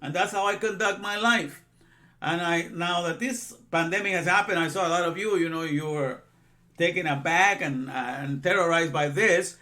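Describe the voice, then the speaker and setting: A man's voice: on the high side, normal speed, bright, forceful tone. A man in his sixties, from Guatemala, giving an informal religious lesson in a rambling way with many asides and repeated phrases. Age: 60s. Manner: rambling, repeats himself, frequent "you know". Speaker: religious teacher.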